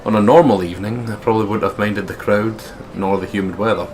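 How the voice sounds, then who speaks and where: A man's voice: on the low side, steady tone, quick pace, a little mellow, slight background noise. A man from the United Kingdom hosting a let's play, reading a visual novel's narration aloud with casual asides. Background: game music.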